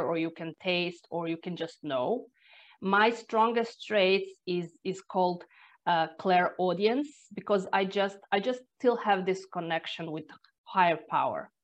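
Earnest Croatian-accented woman, dull sound. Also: fairly low pitch. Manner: reflective, earnest, with sighs and restarts. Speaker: energy therapist, guest. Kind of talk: personal storytelling in conversation.

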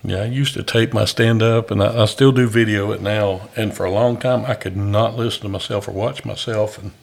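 A man's voice: fairly low pitch, steady tone, a little fast, gravelly. A man who does stand-up comedy, hosting a casual podcast chat with dry jokes. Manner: joking, dry wisecracks.

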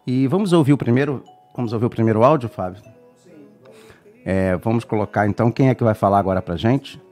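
A man's voice: mid-range voice, quick pace, a little mellow, faint music behind. A man hosting a live radio program, talking in an effusive, rambling way full of restarts. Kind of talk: warm live radio presenting.

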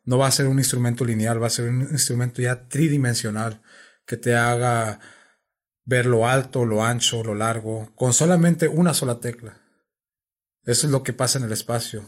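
Man, middle pitch, patient explainer, sound engineer guest, casual studio talk, thinks aloud. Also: steady tone, normal speed. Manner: patient, explanatory, repeats and restarts words while thinking. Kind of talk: explaining synthesizers to an interviewer. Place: music studio.

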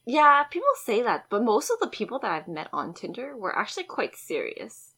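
A woman in her twenties co-hosting a casual chat, telling animated dating stories with lots of giggling. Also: fairly high pitch, moderate pace, breathy edge. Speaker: podcast co-host.

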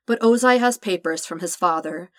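Woman, lowish voice, calm measured story reader, dry close sound. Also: no echo. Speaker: podfic reader. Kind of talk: scripted narration of fan fiction.

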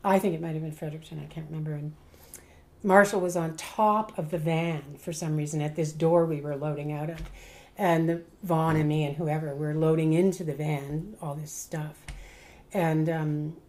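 Older Canadian woman reminiscing, low-pitched. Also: steady tone, normal speed, warm tone. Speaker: veteran stage actor being interviewed. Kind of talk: casual reminiscing, storytelling.

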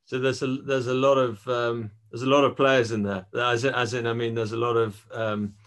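A male interviewer with a medium-pitched voice talks casually. He builds his questions haltingly, rewording them as he goes. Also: flat delivery, a bit bright.

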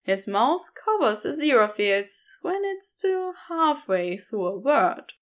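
A woman narrates a story in a high voice with very lively intonation. She reads slowly and deliberately, in an expressive storytelling manner. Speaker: podfic narrator. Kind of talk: scripted fiction narration.

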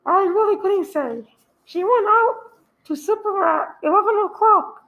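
A polite hospital receptionist in a radio drama, played by a woman. The voice is extremely high, the manner formal and businesslike, slightly halting and unruffled. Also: phone-quality sound. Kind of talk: live radio play acting.

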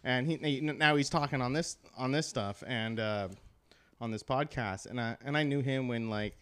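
A man's voice: mid-range voice, normal speed, a bit bright, clear, resonant tone. A man in his late twenties in a casual podcast chat, playful and teasing, stumbling over his words. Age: 20s. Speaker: podcast co-host.

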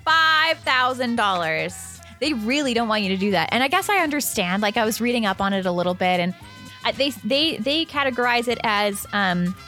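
A woman with a Canadian accent and a high, very bright voice. She chats informally and playfully as a quiz-running co-host, with music playing behind her. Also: animated, moderate pace. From Calgary, Canada. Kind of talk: casual chat, light quiz hosting.

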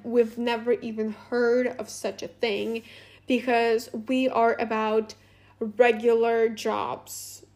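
Slow, rambling young woman from Latvia. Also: on the high side, darkish tone. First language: Russian. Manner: rambling, many fillers and restarts. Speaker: podcast host. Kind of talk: casual unscripted monologue.

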